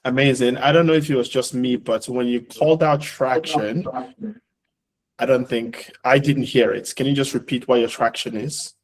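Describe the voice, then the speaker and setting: Male event moderator, mid-pitched, casual, polite and encouraging live hosting. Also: steady tone, moderate pace.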